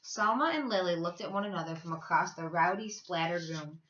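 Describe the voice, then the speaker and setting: A woman teacher reading a children's story aloud, with expressive, emphatic delivery and drawn-out words. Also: on the low side, dull audio.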